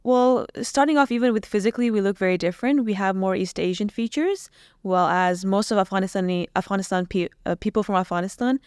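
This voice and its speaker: Young woman guest from Iran, smooth clear voice, dry studio sound, earnest and reflective delivery. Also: mid-range voice, fast, a little mellow, no echo. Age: young adult. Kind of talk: podcast interview. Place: small podcast studio. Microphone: boom mic on stand.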